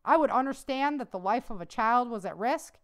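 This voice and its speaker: A woman's voice: fairly high pitch, expressive, moderate pace. A woman voicing an earnest young male army private who answers straightforwardly and respectfully.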